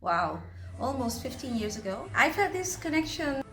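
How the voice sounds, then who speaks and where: A woman's voice: high-pitched, sing-song, moderate pace, a bit bright, soft background music. Brief: friendly woman interviewee, conversational, echoey space. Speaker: club member. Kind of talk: documentary interview.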